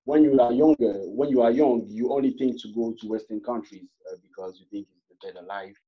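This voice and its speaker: A man from Cameroon, a guest in a panel discussion, who talks in a halting way with many fillers and restarts. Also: medium pitch, breathy edge. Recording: muffled online call audio.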